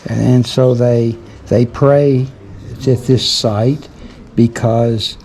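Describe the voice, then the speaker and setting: A man reads a sign aloud, slowly and carefully, pausing between phrases. His voice is mellow and sits at a middle pitch. Faint vehicle and street sounds drift behind him outdoors. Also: fairly even intonation, a little hiss or hum. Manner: slow, careful reading aloud with pauses. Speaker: travel vlogger.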